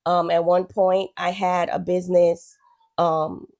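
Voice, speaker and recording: A woman in her forties from Guyana, a guest telling her life story in a relaxed, chatty way. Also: fairly low pitch, slow pace. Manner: relaxed, chatty, with frequent "um" and "you know".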